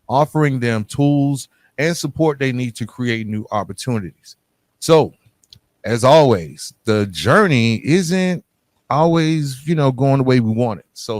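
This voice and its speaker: A man speaks as the host of an interview podcast. His voice sits at an average pitch in a dry recording with very little room sound. He keeps an informal, encouraging manner. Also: dry recording.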